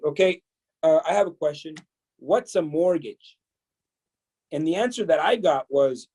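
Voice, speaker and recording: A man from El Salvador, in his fifties, shares his past as an interview guest. His voice sits a bit high but sounds dark and mellow. He talks casually, chuckles often and restarts his sentences. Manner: relaxed, chuckling, many fillers and restarts. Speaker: podcast guest. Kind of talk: storytelling interview.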